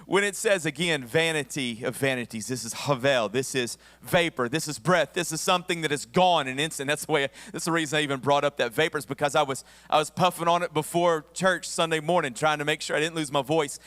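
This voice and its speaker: A man's voice: fairly high pitch, a little fast, hardly any pauses, a bit bright, no echo, in a large hall. A male pastor with a Southern American accent preaching a conversational sermon with humorous asides, his voice a bit worn. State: voice somewhat worn, losing his voice. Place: church sanctuary.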